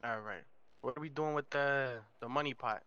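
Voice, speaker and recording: A mafia crew member from a crime roleplay game acted by a man, slangy and halting. The voice is sharp and clean-edged, coming through a somewhat dull chat connection. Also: mid-range voice, smooth, no echo, lightly acted. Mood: explaining, frank. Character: street-talking mafia underling who manages the family business.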